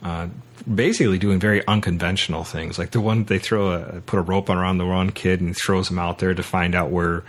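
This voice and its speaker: A man with a low-pitched voice hosts a casual podcast. He talks through films and books in a loose, rambling fashion, often halting and restarting as he goes. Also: level tone. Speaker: podcast host. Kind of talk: casual film and book discussion.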